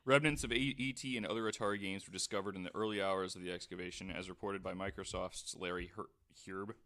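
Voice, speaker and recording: A man with a fairly low voice reads from his notes as podcast host, tripping over hard names now and then. He keeps breaking off for quick jokes and laughs in a casual chat. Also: steady tone, sharp tone. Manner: reads from notes, joking asides, stumbles on names. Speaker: podcast host reading out a story. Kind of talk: casual chat, reading aloud.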